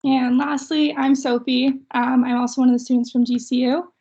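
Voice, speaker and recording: A woman's voice: high-pitched, little pitch movement, brisk, warm tone. A young woman with a Canadian accent hosting and introducing an online session over a video call. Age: young adult. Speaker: student host opening an online session. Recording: dull video call audio.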